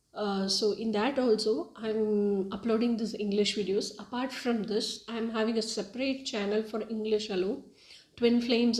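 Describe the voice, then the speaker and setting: A woman's voice: medium pitch, a little mellow. A woman whose first language is Tamil, hosting her own spiritual channel and talking in an earnest, hesitant way with many fillers. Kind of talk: casual video monologue. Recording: echoey room.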